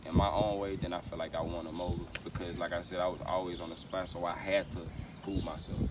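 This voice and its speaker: A very deep-voiced American man talks casually as a rapper being interviewed. His speech is slangy and peppered with repeated verbal tags. He sounds set back from the microphone, with clear outdoor city noise behind him. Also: level tone, fast. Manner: slangy, repeats verbal tags like "you know what I'm saying". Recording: noisy, distant mic, outdoor street ambience.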